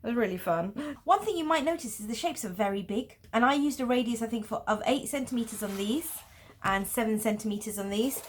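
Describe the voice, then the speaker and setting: A woman's voice: on the high side, animated, fairly quick, a little hiss or hum. Patient woman teaching a drawing lesson. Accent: Pakistani English. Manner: patient, explanatory, with light laughs. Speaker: art teacher. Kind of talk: tutorial explanation.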